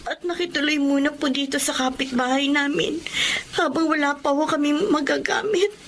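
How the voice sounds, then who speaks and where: A woman's voice: high-pitched, normal speed, slight background noise. A woman in a radio drama playing a sorrowful young daughter.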